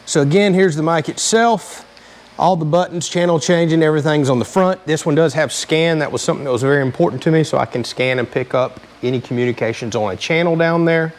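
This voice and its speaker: A man's voice: fairly high pitch, brisk. A man hosting a casual hands-on DIY tutorial, talking in a plain-spoken, explanatory way. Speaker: host of a DIY vehicle channel.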